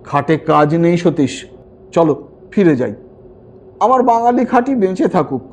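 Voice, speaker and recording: A man plays a wary young man in an audio storybook. He sounds reluctant and questioning, in a mellow, high-set voice with some background noise. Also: animated, a little slow. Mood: uneasy. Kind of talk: audio storybook character acting.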